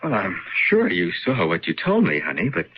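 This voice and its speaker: A man's voice: sits low, huge pitch swings, moderate pace, a little hiss or hum. A man playing an easygoing, jokey young newlywed husband in a radio drama. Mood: bantering, insistent. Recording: dull lo-fi radio recording.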